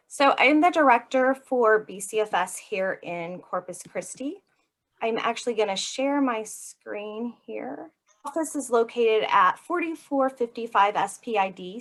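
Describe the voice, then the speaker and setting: A woman's voice: a little high, fairly bright tone. A woman speaking as a program director, giving a prepared informational presentation over a video call.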